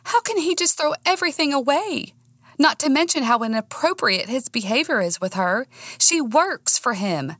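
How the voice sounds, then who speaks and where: A woman voicing a hot-tempered, heartbroken Texan woman with a twang that turns squeaky when she is worked up. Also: very animated, normal speed, a bit bright, dry recording. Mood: hurt, indignant. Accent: Texas.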